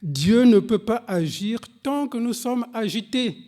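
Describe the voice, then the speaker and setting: A man's voice: animated, dark tone. A man preaching a sermon in French, which is not his first language. He speaks with emphatic, swooping delivery, reads scripture aloud and addresses the congregation directly. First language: a language other than French. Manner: slow, emphatic preaching with dramatic pitch swings, reading scripture aloud. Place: church service.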